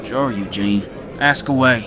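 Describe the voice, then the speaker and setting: A man playing a gruff, protective survivor in an audio drama, terse, blunt and plain-spoken. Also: mid-range voice, measured pace, close to an everyday voice. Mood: wry. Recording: outdoor crowd chatter behind. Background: party crowd chatter.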